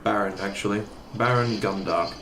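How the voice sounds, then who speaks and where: Guy doing an evil imperial officer, pompous n condescending, low-ish voice, audio drama, noisy ambience. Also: moderate pace, echoey room, natural voice. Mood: smooth menace.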